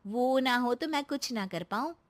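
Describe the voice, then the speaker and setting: A woman voicing a sweet, loyal young housewife in a family story, polite and earnest as she gently protests. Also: fairly high pitch, lively intonation, moderate pace, close to an everyday voice. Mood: earnest defending.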